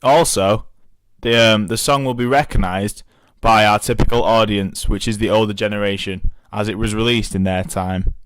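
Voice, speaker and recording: A young man reads out his documentary evaluation in a fairly low voice. The sound is dry and close, with no room around it. He keeps a steady reading pace and corrects small slips as he goes. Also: normal speed, clear, resonant tone, no room sound, poor audio. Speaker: media student.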